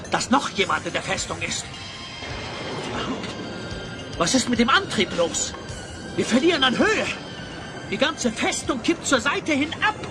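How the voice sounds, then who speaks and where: An actor playing a megalomaniac sorcerer in an audio drama, pompous and theatrical, drawing out his cries. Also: high voice. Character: raving megalomaniac sorcerer, male master of time. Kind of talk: German audio drama acting. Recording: dramatic music behind the voice.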